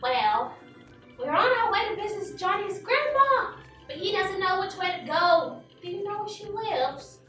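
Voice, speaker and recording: A performer voicing an excitable, practical young puppet friend in a children's puppet show, with exaggerated, expressive delivery. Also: very high-pitched, measured pace, heavily acted. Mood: relieved. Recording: echoey room, distant mic, music behind.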